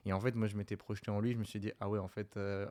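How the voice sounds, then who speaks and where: A young man in his twenties with a low, smooth, clear voice, telling stories in a loose, meandering way. His delivery stays even and hardly rises or falls. He comes from the Lyon area. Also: very fast. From France, Lyon area. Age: 20s. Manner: relaxed, rambling storyteller with many fillers. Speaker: sprinter guest. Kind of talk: casual interview chat.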